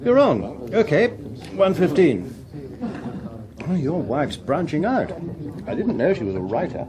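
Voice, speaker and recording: Brash, sly Englishman, swooping pitch. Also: big pitch swings, a little slow, a crowd in the background. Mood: sly, teasing. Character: brash, mercenary man, slyly teasing. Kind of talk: radio drama acting.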